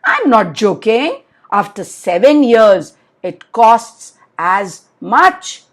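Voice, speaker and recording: A greedy rich merchant of a children's folk tale, acted out by a woman storyteller. He is demanding and insistent, pressing each point with emphatic, very lively pitch. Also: very slow, full character voice.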